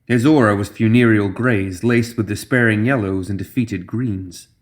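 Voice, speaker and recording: A man's voice: on the low side, fairly even intonation, moderate pace. A man reading scripted fantasy fiction as an audiobook narrator.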